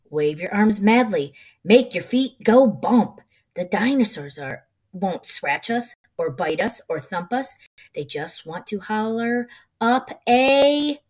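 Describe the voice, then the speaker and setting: A middle-aged woman reading a children's picture book aloud in an expressive, rhythmic, sing-song way. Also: medium pitch.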